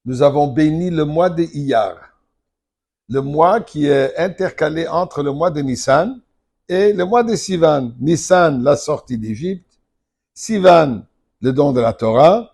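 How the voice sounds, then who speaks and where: An older man, a rabbi, giving a Torah lesson, emphatic and at times forceful. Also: on the high side, lively intonation, takes it slowly, slightly rough. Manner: emphatic, rising to forceful outbursts.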